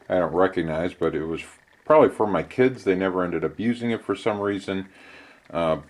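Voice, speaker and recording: A middle-aged man giving a casual hands-on how-to demonstration in an unhurried, conversational way with hesitant pauses. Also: low voice, darkish tone. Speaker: DIY channel host. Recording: clear background noise.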